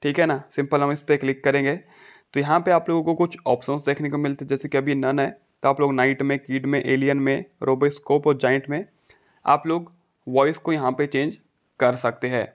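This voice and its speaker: A man's voice: mid-range voice, flat delivery, fairly quick. A man talking through a casual step-by-step phone tutorial as the host.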